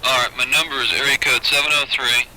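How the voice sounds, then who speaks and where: A man working as a booking agent, talking business haltingly on a noisy old taped phone call. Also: medium pitch, steady tone, crowd noise. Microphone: telephone.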